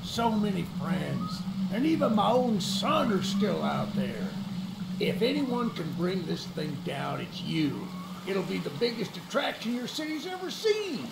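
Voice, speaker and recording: Casting: folksy old monster hunter for a game cutscene, eager and friendly, gravelly, very high for a man, roomy echo. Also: measured pace, very noisy, full character voice. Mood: conspiratorial, inviting. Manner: folksy, animated, theatrical. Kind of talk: video game cutscene acting. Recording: roomy echo with music underneath.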